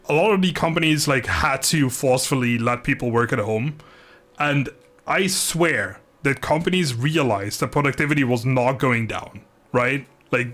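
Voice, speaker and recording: A man livestreaming a video game, chatting informally and thinking aloud in a halting, casual way. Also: medium pitch, a bit bright. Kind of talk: casual livestream chat over gameplay.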